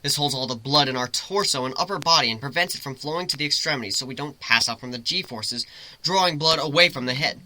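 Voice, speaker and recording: A man's voice: mid-range voice, fast talker, pushed and bright, slight background noise, poor audio. A man giving a dramatic first-person reading of a fantasy story as its narrator. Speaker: story reader.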